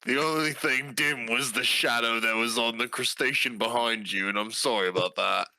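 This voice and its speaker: A man's voice: medium pitch, moderate pace, bright, forceful tone, no echo, low-quality recording. A man playing a tabletop game, improvising in character with a guarded, blunt, wry manner.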